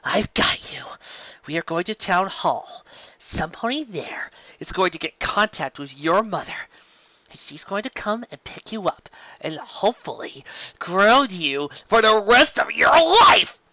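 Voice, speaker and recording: Woman as a snappish, forceful unicorn shopkeeper. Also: mid-range voice, sing-song, unhurried, no room sound, muffled recording, pitched above the performer's own voice, heavily acted. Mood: angry scolding. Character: exasperated older female unicorn shopkeeper, young, scolding and bitter.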